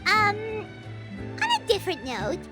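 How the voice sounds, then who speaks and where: A woman voicing a tiny, chirpy, childlike fairy companion in a video game, squeaky, eager and exclamatory. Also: huge pitch swings, measured pace, exaggerated voice. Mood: curious. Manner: speaks of self in third person, exclamatory. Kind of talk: video game character acting. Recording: loud game music behind the voice.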